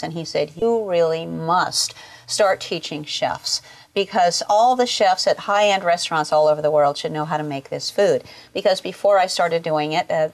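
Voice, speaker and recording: Low-voiced mature woman in interview. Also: no echo. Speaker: culinary school founder and director. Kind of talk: interview answer, recollection. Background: music behind the voice.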